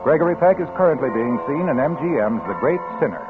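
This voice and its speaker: A man's voice: on the high side, expressive, fast. A man working as a 1940s radio announcer, reading scripted copy and ads with a polished sales manner. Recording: tinny 1940s radio recording, music behind.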